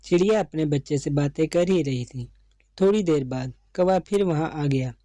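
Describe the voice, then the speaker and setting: A woman's voice: low-pitched, moderate pace, warm tone, dry recording, studio quality. A woman narrating a scripted children's story as its storyteller.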